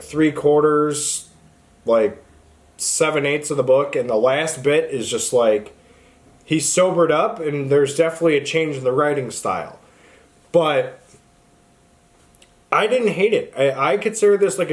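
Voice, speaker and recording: Male book vlogger, medium pitch, informal and opinionated, unscripted chat straight to the audience. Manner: informal, opinionated, frequent "like" and "honestly". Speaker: book reviewer, video host.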